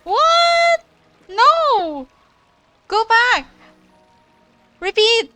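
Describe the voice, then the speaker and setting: Woman streamer, bright sharp tone, slow, swinging pitch, faint game music. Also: no echo. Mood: excited. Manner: expressive, reacts aloud, voices along with characters. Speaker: gameplay streamer. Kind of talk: reacting to a game cutscene.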